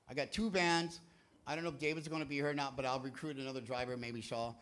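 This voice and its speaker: A man hosting a recovery meeting from a church stage, talking to the crowd in a loose, rambling, jokey way. He swings between quiet, low asides and loud, rousing calls. His delivery leans toward a sermon. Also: steady tone, quick pace. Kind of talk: energetic sermon-like talk to a crowd. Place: church hall, speaking from a stage.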